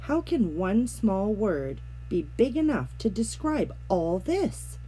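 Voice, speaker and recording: Lively, mellow woman reading a storybook. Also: mid-range voice, slow, a little hiss or hum, low-quality recording. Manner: expressive, gently animated storytelling. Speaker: storybook reader. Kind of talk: read-aloud children's story narration.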